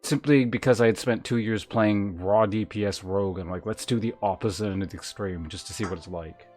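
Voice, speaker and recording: Male game streamer, lowish voice, wry and rambling, off-the-cuff chat, light music in background. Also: brisk, darkish tone. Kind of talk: casual livestream chat and game commentary.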